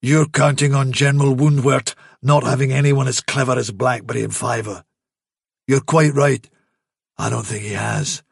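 A man voicing a veteran male rabbit who gravely pleads against a dangerous plan. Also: steady tone, normal speed, no room sound, clean studio sound. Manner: grave, pleading, low-voiced.

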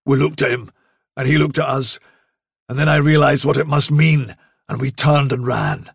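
A man's voice: medium pitch, normal speed, vocal fry, breathy edge, dry recording, clean studio sound. A man voicing a frightened male rabbit scout in a storybook, urgent and gasping. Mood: panicked, grave.

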